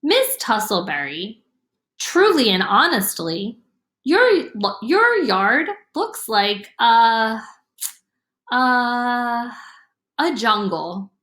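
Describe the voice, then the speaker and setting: Woman reader playing blunt, earnest storybook farm girl; plaintive, folksy, slow delivery, echoey room. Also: a little high, big pitch swings, a bit bright, full character voice. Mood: hesitant honesty. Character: earnest, blunt, troubled young country girl. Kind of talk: storybook character voice. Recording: roomy, echoing room sound.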